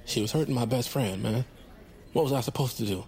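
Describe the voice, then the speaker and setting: An actor playing a boastful, defensive goofball bounty hunter who rambles in casual slang, in a naturalistic comedic audio drama. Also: male voice, mid-range voice, fairly quick, darkish tone, slight background noise, lightly acted. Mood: boastful, mock modest.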